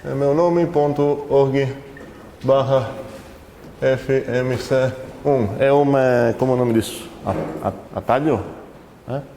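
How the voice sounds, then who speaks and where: A man's voice: mid-range voice, slow, mellow. A man with a foreign accent teaching a university math class, chatting with students and often rephrasing himself as he searches for words. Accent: foreign accent in Portuguese. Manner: conversational, asks the class questions, self-correcting. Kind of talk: classroom lecture. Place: lecture hall. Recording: reverberant lecture hall with faint noise.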